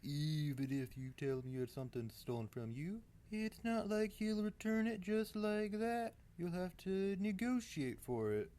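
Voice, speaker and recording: A woman's voice: on the low side, unhurried, few pauses, dark tone, lightly acted. A woman voicing a streetwise man of the slums in an anime fan dub, offhand, hesitant and casually helpful.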